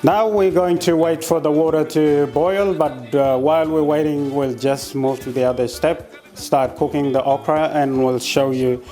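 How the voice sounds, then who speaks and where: A man from South Sudan with a South Sudanese accent, demonstrating a recipe in his kitchen and explaining each step in an unhurried way, with many hesitant pauses. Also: fairly high pitch, steady tone, mellow, soft background music. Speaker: home cook. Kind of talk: cooking demonstration.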